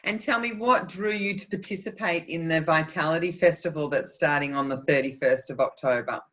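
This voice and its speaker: A host with a bright, forceful voice welcomes viewers and asks the guest questions, adding short encouraging replies. The audio is dull and lo-fi, as if it came over a video call. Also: medium pitch, brisk. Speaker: festival host and interviewer. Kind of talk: friendly scripted interview questions.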